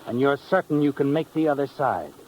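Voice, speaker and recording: A man acting as an American narrator of a 1950s radio thriller, mocking and ominous, with dramatic pauses. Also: medium pitch, lively intonation, dull audio, slight background noise, close to an everyday voice. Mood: taunting, tense. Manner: taunting second-person address with dramatic pauses. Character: mocking, ominous male storyteller of a crime thriller. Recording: old radio with dramatic music behind.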